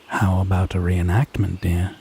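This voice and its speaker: A man playing a haughty, teasing, possessive fae in a scripted audio roleplay, speaking in wry, theatrical, deliberate phrases. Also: low-pitched, level tone, noisy background. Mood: teasing, indignant.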